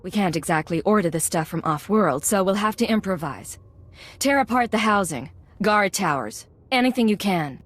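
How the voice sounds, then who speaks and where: An actress playing a cool-headed secret agent who leads a heist crew in a video game, giving clipped, decisive orders with control. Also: female voice, medium pitch, lively intonation, normal speed, lightly acted.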